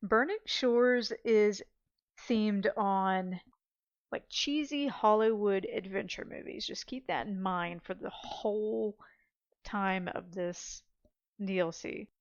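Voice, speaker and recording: A woman's voice: mid-range voice, slow pace, no echo, dull audio. A woman hosts a video game playthrough in a mid-range voice. She comments casually and informally, musing aloud as she plays. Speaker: gameplay host.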